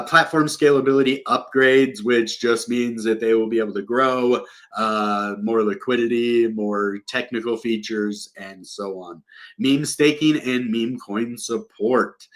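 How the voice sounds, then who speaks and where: A man's voice: medium pitch, fairly bright tone. Young male host, sick with a cold, rambling crypto chat full of fillers. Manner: rambling, many ums and uhs. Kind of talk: casual market commentary.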